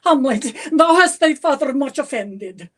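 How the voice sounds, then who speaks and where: A woman's voice: sits high, expressive, unhurried, a bit bright. A woman playing Queen Gertrude, frightened and pleading, in a comic stage performance in a theater.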